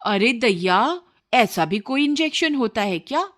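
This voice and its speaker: A woman's voice: fairly high pitch, expressive, measured pace, fairly bright tone, pitched above the performer's own voice. A woman voicing a greedy, sly village wife and mother in a cartoon story, with exclamatory, pleading speech. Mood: eager, complaining.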